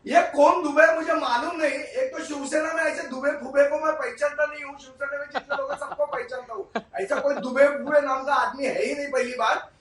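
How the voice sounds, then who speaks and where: A man in a heated TV debate, scornful and shouting, repeating himself as a party spokesman. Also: extremely high pitch, steady tone, brisk, few pauses. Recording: echoey room, distant mic.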